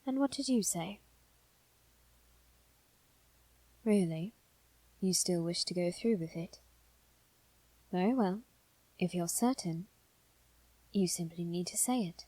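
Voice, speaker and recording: Woman as a soft, deliberate demon triplet, dry sound. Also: on the low side, breathy edge, dry recording, poor audio. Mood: probing. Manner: soft, slow, deliberate, dipping toward whisper. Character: calm, probing male demon triplet, quietly intense. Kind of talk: scripted ASMR roleplay acting.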